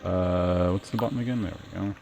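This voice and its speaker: Male game streamer, lowish voice, casual think-aloud commentary over background game music. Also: fairly even intonation, a little fast, vocal fry, a little mellow. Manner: thinking aloud, muttering to himself.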